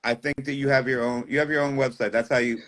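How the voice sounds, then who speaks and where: A man hosting a livestream, relaxed, friendly and chatty with guests and viewers. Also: medium pitch, fast talker, a crowd in the background. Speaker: livestream host.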